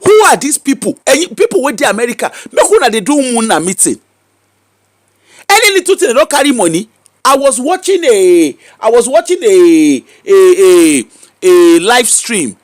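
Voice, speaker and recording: Nigerian male commentator, high bouncy voice, teasing and rhetorical. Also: normal speed, no room sound, studio quality. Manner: animated, mocking, rhetorical questions. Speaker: social media commentator. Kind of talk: casual commentary in pidgin. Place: UK-based.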